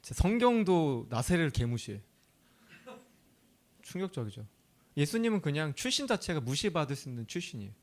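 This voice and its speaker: A man's voice: fairly high pitch, very animated, brisk, long pauses. A male pastor from Chungcheong, South Korea, preaching a sermon in a conversational way. He often asks the audience questions and laughs easily.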